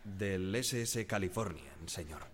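An actor playing a deferential ship's wireless operator giving measured, careful testimony in a radio drama. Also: male voice, low voice, a little hiss or hum.

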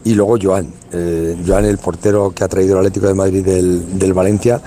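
A man's voice: sits low, little pitch movement, fairly quick, mellow. A man from Spain, organizer of a youth football tournament, talking in a casual radio interview, colloquial and full of restarts and tag questions.

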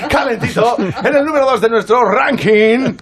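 A man playing a cheerful, breathless eighteenth-century pop radio DJ, exuberant and shouty, announcing in a parody music show. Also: very high voice, moderate pace, crowd noise. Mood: hyped, exuberant. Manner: exuberant, shouty, drops in English words.

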